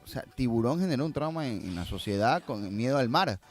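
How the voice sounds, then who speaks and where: A man's voice: medium pitch, lively intonation, fairly quick. A man speaking as a guest, casually explaining things through examples. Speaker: guest filmmaker. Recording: faint music behind the voice.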